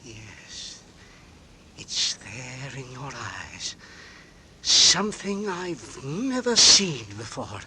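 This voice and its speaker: Rozedrganego, melodramatycznego męża ze starego słuchowiska. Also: male voice, medium pitch, big pitch swings, extremely slow, slight background noise, heavily acted. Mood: shattered, hushed. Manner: melodramatic, fraught, swinging from hushed to frantic. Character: anxious suspicious husband, an Englishman, secretly dreading his poisoner wife. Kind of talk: 1940s radio drama acting, with first-person narration. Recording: vintage 1940s radio recording with music.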